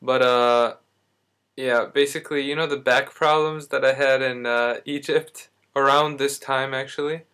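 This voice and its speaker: A man's voice: medium pitch, steady tone, unhurried, a bit bright, clear, resonant tone. A young man talking casually in a video diary, rambling and correcting himself, speaking while in back pain. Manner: rambling, self-correcting, lots of fillers. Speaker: vlogger.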